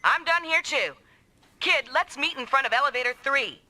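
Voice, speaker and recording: An actress voicing a proud, sharp-tongued woman mech pilot in an anime-style video game, bossy, taunting and combative. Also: high voice, expressive, moderate pace, very noisy. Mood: brisk, commanding. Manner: brash, taunting, commanding.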